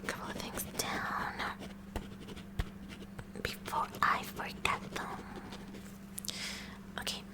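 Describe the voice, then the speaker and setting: Whispering, kooky science club president played by a woman. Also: close to an everyday voice. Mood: focused, instructing. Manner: slow, repetitive, instructing. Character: kooky, curious female high school science club president. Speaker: ASMR roleplayer. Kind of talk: whispered ASMR roleplay.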